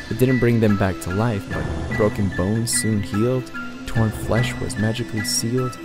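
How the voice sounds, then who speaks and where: A man's voice: fairly low pitch, lightly acted. A man voicing a tormented, blinded unicorn pony who recounts his past in an intense, dramatic first-person story reading. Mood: awed, determined. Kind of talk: dramatic reading of fan fiction. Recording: loud music under the voice. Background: prominent background music.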